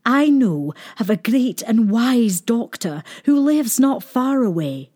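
Cunning, slow-spoken wicked queen, voiced by a woman. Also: on the high side, very animated, warm tone, pitched above the performer's own voice, heavily acted. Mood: feigned worry. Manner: falsely concerned, scheming.

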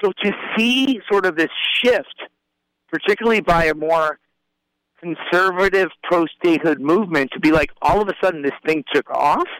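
A man's voice: a little high, moderate pace, fairly bright tone, dry recording, rough recording. A man from Puerto Rico and the Bronx, a journalist guest making emphatic points on a panel discussion. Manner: emphatic, argumentative, uses "like" and "right?".

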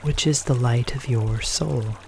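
A man leading a guided meditation in a gentle, unhurried manner, leaving pauses between short phrases. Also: mid-range voice, creaky, a little hiss or hum.

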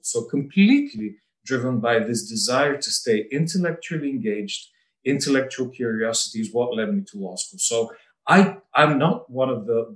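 A middle-aged man from Bulgaria, a lawyer, giving a measured and articulate personal account. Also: mid-range voice. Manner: measured and articulate, occasional light laughs. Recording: roomy echo, mic at a distance.

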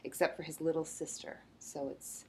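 A woman author answering interview questions in a thoughtful way, often opening with a soft "uh, well". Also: low voice, little pitch movement, normal speed, slight background noise.

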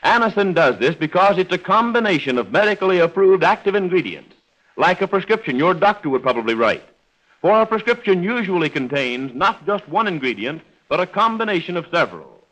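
An American male announcer from 1930s radio reads his script formally. His voice sits fairly high and is polished, with the sound of a vintage recording. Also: very animated, brisk, fairly bright tone, low-quality recording.

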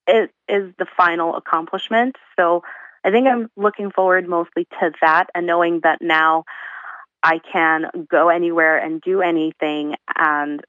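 Woman, 20s, from Uzbekistan, interview guest, slightly low voice, dry muffled remote audio. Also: fairly even intonation, a bit bright, no room sound. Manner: thoughtful, pauses with fillers. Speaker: guest, accounting professional. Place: her home. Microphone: remote call from home.

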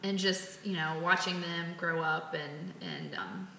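Here is a woman in her late thirties talking in a loose, rambling way. Her low-set voice has a bright, forward edge. She was recorded in an echoey room with a faint hiss underneath. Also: sing-song, unhurried. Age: 30s. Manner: chatty, lots of fillers and restarts. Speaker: interviewee, community volunteer. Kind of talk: casual conversational interview. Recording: roomy echo.

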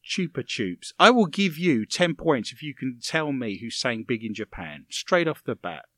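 A man's voice: mid-range voice, lively intonation, moderate pace, fairly bright tone, no room sound, clean studio sound. A British man hosting a radio show with teasing, dryly jokey banter as he reads out listener messages.

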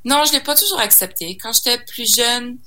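A woman's voice: a little high, lively intonation, moderate pace, bright, forceful tone. A young woman from Saskatchewan, a singer guest in a casual interview, talking hesitantly with many fillers and restarts and slipping in English words. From Saskatchewan, Canada.

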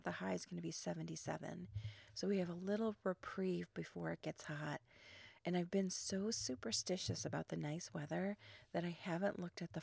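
Froggy-voiced woman podcaster, gravelly and low. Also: no room sound. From Chicago suburbs. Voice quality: froggy morning voice. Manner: chatty and rambling, with fillers and soft breathy trailing words. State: not fully awake, early morning. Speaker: podcast host. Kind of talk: casual solo podcast monologue.

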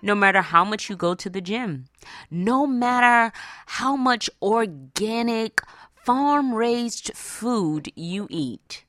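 A woman's voice: medium pitch, lively intonation, slow pace, a bit bright, no room sound, clean studio sound. An American woman hosting a radio show, talking conversationally and directly to her listeners in a motivational style, often checking in with them. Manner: conversational, addresses listeners directly with frequent "right?" and "okay?". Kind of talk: motivational personal finance talk to listeners.

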